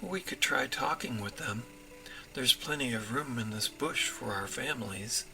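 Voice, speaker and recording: Male storybook robin, acted by a man, mild-mannered, reasonable, faintly exasperated, bright sharp tone, soft music. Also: mid-range voice, a little slow, vocal fry, exaggerated voice, poor audio.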